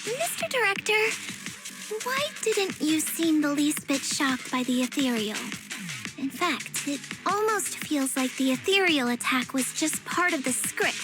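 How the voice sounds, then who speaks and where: A woman's voice: high-pitched, very animated, measured pace, poor audio. A woman voicing a small young tiger girl warrior in a video game, earnest and alert. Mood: wary, serious. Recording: music behind the voice.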